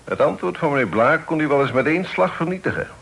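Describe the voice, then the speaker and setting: An actor playing a stern but fair middle-aged father in a period radio drama, speaking formally and in measured, admonishing phrases. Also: a little high, animated, vocal fry, a bit bright, husky, exaggerated voice. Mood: firm, cautioning. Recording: noisy old radio play recording.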